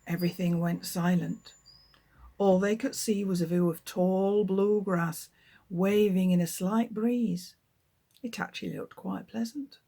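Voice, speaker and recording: Husky woman author, expressive children's read-aloud. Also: mid-range voice, a little slow. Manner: lively, expressive storytelling. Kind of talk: reading her book aloud.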